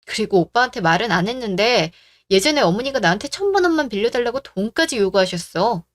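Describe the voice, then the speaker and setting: A woman plays a composed young heroine in a radio drama. She speaks calmly and reasons firmly. Her voice is a touch high. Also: quick pace, no echo, close to an everyday voice. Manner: calm, reasoned and firm. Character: calm, firm young woman who stands her ground.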